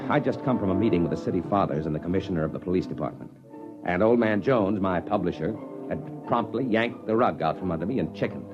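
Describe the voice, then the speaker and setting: A hard-boiled male journalist in a vintage radio drama, wry and slangy. His low voice moves in big swings from muttering to bark. The old recording is muffled, with music underneath. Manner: tough, slangy, wry storytelling. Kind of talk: 1950s radio drama acting, first-person narration and dialogue.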